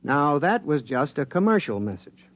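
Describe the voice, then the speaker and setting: A man's voice: on the high side, big pitch swings, moderate pace, fairly bright tone. An actor playing an earnest male military radio decoder who explains his technical work in a 1950s radio drama. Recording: vintage 1950s radio transcription.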